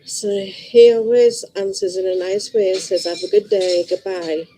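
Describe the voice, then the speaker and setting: A woman's voice: mid-range voice, big pitch swings, normal speed, creaky, dark tone, slight background noise, rough recording. A middle-aged woman with a Liverpool accent, chatting casually and bluntly to her viewers in a rambling way. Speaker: vlogger. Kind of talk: casual chat to camera.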